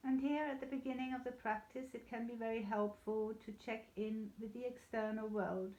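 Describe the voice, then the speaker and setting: A woman leading a guided yoga nidra meditation in a soothing, unhurried, gently reassuring way. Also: fairly high pitch, fairly even intonation. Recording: slightly dull, echoey room sound.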